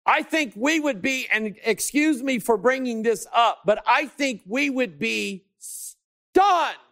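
High-voiced, emphatic male preacher. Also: animated, takes it slowly, gravelly. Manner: emphatic, swings between shouting and hushed asides; stretches key words for emphasis. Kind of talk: sermon.

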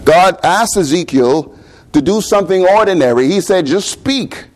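A man with a Bahamian accent preaches in a loose, talky way. He keeps asking his listeners questions and repeats words now and then. His voice sits a little high for a man. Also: animated, normal speed. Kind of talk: sermon.

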